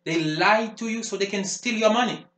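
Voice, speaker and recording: A fervent preacher, hammering his points in repeated phrases. His voice sits high for a man. The room rings with echo around him. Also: normal speed, fairly bright tone. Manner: emphatic, repetitive preaching cadence. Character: fervent male preacher warning of the devil. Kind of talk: sermon. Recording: roomy echo.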